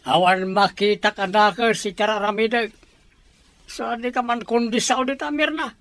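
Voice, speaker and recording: A man's voice: high-pitched, fairly even intonation, moderate pace, a bit bright, dry recording. A man with an Ilocano accent playing a greedy, dismissive character in an audio drama. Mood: defiant, irritated. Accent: Ilocano, from the Philippines. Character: greedy, defiant male landowner or his kin, gruffly dismissive.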